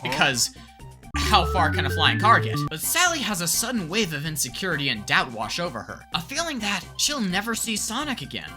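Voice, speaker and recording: A woman's voice: lowish voice, sing-song, fairly quick. A woman in her twenties doing comedic scripted narration in a sarcastic, dramatic way with exaggerated emphasis. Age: 20s. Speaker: YouTube commentary host. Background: background music.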